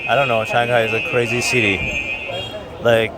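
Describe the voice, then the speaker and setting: A man from Iran answering a street interview, thoughtful and hesitant, pausing often with fillers. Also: mid-range voice, flat delivery, heavy background noise. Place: busy city street outdoors. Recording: busy street crowd behind.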